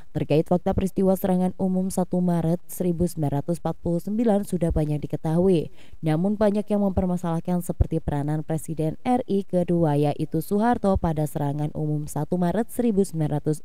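A woman's voice: fairly low pitch, fairly quick, mellow, no room sound. A woman reading a scripted news report as a voice-over.

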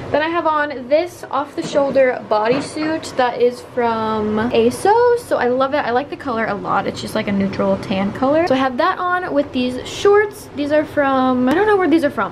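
A woman's voice: sits high, expressive, moderate pace, background noise. A young woman in her twenties chatting casually to a handheld camera, bubbly and rambling with lots of fillers. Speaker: vlogger. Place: hotel room and busy convention grounds. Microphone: handheld camera at arm's length.

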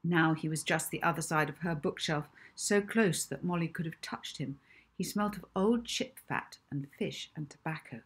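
A woman's voice: deep voice, moderate pace, breathy edge. A woman, narrating a children's novel expressively. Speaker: author reading own children's novel.

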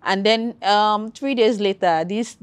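A Nigerian woman with a Nigerian accent, a travel content creator giving advice as a podcast guest in a relaxed, chatty way and repeating points for emphasis. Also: mid-range voice, slow, clear, resonant tone, dry recording. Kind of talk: casual conversational interview.

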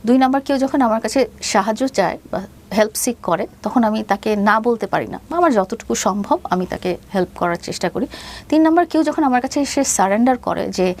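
Halting, creaky-voiced Bangladeshi woman. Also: on the high side, expressive, a little mellow. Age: 50s. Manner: hesitant, halting delivery, mixing in English phrases. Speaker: interview guest. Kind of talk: personal storytelling in an interview.

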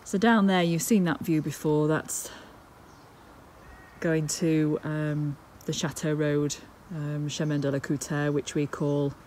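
A woman's voice: deep voice, little pitch movement, slow, darkish tone, slight background noise. A woman, talking worriedly into a phone held at arm's length in a casual vlog. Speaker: vlogger. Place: outdoors in the countryside and indoors at home.

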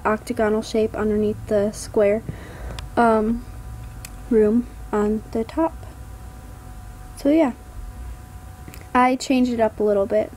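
Slow, hoarse young-sounding woman, hesitant. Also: fairly high pitch, slight background noise, low-quality recording. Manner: hesitant, many ums, trails off quietly. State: hoarse, getting sick. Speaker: gaming vlogger. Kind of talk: casual voiceover narrating gameplay.

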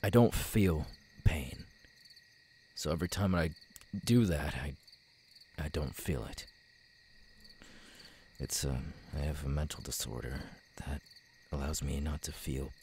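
A man acting a twitchy, unstable young man with tics in an ASMR roleplay, halting and stammering, menacing yet apologetic. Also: a bass voice. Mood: subdued, halting. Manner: halting, stammering, broken by sudden shouted outbursts. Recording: night crickets, steady background noise.

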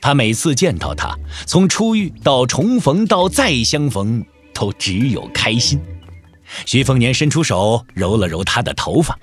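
A man's voice: medium pitch, very animated, a little slow, husky, faint music behind. A man narrating a martial-arts audio drama in a dramatic storytelling style.

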